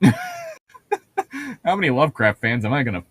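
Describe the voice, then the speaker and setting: A man's voice: on the high side, wildly swooping pitch, unhurried, fairly bright tone, slightly rough, no room sound, studio quality. A man co-hosting a casual read-aloud, throwing in sarcastic asides and laughing often. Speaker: co-host of a humorous read-aloud commentary.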